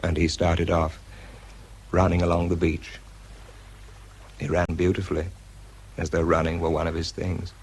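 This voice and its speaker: A man's voice: low-pitched, monotone, normal speed, pauses often, breathy edge. An actor playing an exhausted, self-doubting British bomb disposal man in a radio drama, introspective and understated in narration and dialogue. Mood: weary, reflective.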